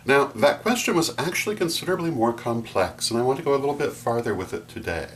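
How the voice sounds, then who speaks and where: A middle-aged man giving a formal, measured explanation to camera as a vlog host. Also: mid-range voice, animated, fairly bright tone. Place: small furnished room at home, indoors. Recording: distant mic, faint hum.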